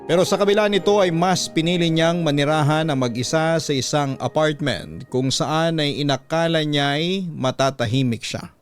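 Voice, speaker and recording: A man narrating as a radio host and storyteller, reading in a measured, dramatic scripted style. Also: fairly high pitch, soft background music. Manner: measured, reading a letter in first person. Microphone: close studio microphone.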